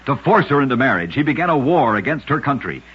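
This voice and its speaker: A man's voice: mid-range voice, sing-song, fast talker, husky. A man narrating short scripted oddity stories as a radio host, with a dramatic storytelling delivery. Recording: muffled vintage radio recording.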